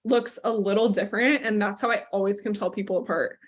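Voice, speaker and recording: A woman's voice: mid-range voice, fairly even intonation, fast talker. A young woman hosting a podcast, chatting casually and filling her sentences with "like". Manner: chatty, with fillers and laughs. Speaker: podcast host. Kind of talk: casual chat.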